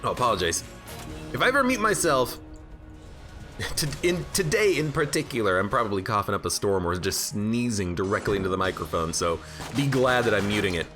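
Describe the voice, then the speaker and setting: Man, game streamer, congested from allergies, rambling self-joking chat, lively swooping pitch, into his desk mic. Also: brisk. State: stuffed up from allergies. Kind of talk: casual let's-play commentary. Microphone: own desk microphone. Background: game music and gunfire.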